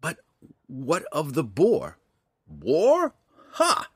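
A man voicing a crafty, mischievous old ranger with brisk, theatrical, formal speech in a fantasy audiobook. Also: mid-range voice, huge pitch swings, fairly bright tone, breathy edge, dry recording, clean studio sound, pitched above the performer's own voice, full character voice. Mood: gleeful, taunting.

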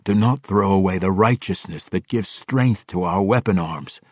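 Man playing a steady, grave drow ranger, low, firm; dry audiobook read. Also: husky, no echo. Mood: resolute. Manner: measured, firm. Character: principled male drow ranger, grave and resolute.

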